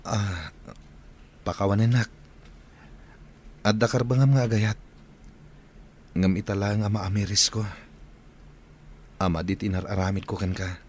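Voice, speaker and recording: A man with an Ilocano accent acting an excitable, good-natured young village son in a radio drama. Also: fairly low pitch, measured pace, long pauses, slight background noise, natural voice. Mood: subdued.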